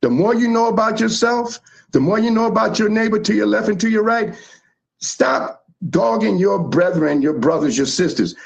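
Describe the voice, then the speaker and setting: A man from the South Bronx, telling history in animated, emphatic casual chat as a show host. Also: high-pitched, normal speed, creaky. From South Bronx, New York.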